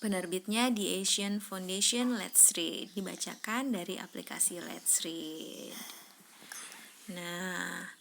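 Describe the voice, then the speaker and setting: A woman's voice: lowish voice, vocal fry, a little hiss or hum. A woman reading a children's story aloud expressively, drawing out words and prompting a young listener with questions. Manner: animated, prompting, drawn-out words for suspense.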